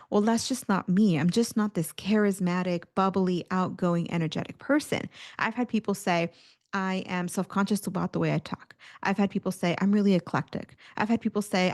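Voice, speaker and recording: A woman's voice: medium pitch, darkish tone. A middle-aged woman, a career coach hosting a podcast, talking in a casual, encouraging way with plenty of "like" and "you know".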